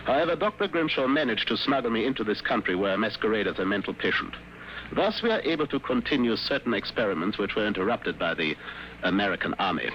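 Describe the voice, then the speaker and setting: A man's voice: lowish voice, expressive, quick pace, lightly acted. Guy doing pompous menacing german professor, fake mad count, old radio drama, muffled and a bit noisy. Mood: coolly menacing. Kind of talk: 1950s radio drama acting.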